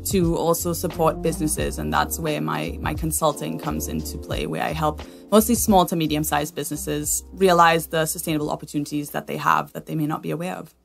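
A woman from Trinidad and Tobago speaks as a consultant being interviewed. Her voice sits a little low, and she explains things in a conversational way. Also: steady tone, a little fast, vocal fry, hardly any pauses, soft background music. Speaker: guest, sustainability consultant.

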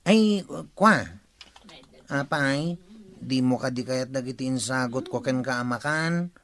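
A simple, lovesick young nephew with an Ilocano accent. The voice is bright and forceful, and the words come slowly and drawn out, in the style of radio comedy drama. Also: male voice, medium pitch. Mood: pleading. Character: naive, lovesick young nephew, slow and whiny.

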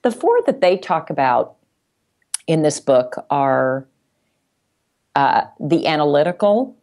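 A woman's voice: low-pitched, very animated, slow, darkish tone. A woman speaking as a podcast guest in casual conversation, explaining things reflectively step by step. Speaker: podcast guest, sales executive.

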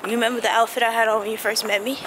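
A young woman chatting casually while vlogging on city streets, telling stories with lots of feeling. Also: racing. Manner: animated storytelling, acts out friends in a higher voice. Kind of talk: casual chat. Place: city streets at night and outdoors. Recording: loud street noise.

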